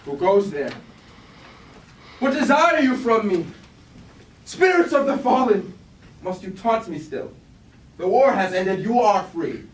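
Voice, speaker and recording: A man's voice: sits high, big pitch swings, slow, long pauses, heavily acted. A man playing a grave, war-weary robot warrior leader haunted by guilt, in solemn, declamatory Greek tragedy style at a staged reading. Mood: alarmed. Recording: echoey room from far off, hiss.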